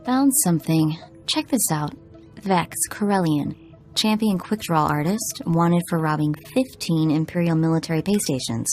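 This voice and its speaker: A woman's voice: low-pitched, darkish tone, close to an everyday voice. A woman playing a spunky young tech slicer. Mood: perky. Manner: quick, chatty, teasing. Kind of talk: video game dialogue. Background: faint game music.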